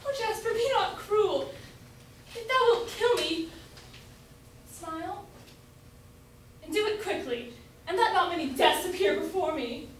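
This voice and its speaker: A young woman student playing a devoted gentlewoman in love in an amateur staged reading of a Jacobean comedy. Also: very high-pitched, expressive, measured pace, pauses often. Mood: pleading. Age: young adult. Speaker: student actor. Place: college room, staged reading. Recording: echoey room from far off, faint noise.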